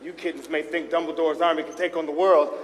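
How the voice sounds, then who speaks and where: A man acts out a swaggering wizard police chief in a comic staged reading. His voice sits high, with brash, jive-talking authority. It rings through a large hall over faint noise. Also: fairly even intonation, fast, close to an everyday voice. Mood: stern. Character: swaggering male wizard police chief, funky cop-movie lawman. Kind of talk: live staged reading of comedy musical.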